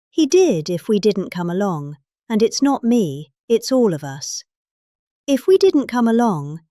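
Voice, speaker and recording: A middle-aged woman with a British accent giving a dramatic reading of a political speech, emphatic and deliberate in her phrasing. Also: medium pitch, a little mellow, dry recording, clean studio sound. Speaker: reader.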